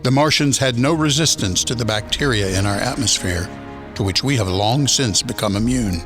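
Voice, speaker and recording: A male actor is the grave storyteller of a science fiction radio drama. His mid-range voice moves in deliberate phrases. Clear music plays beneath him. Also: medium pitch, fairly bright tone, natural voice. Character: solemn male narrator of a world-ending science fiction tale. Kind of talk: dramatic scripted narration.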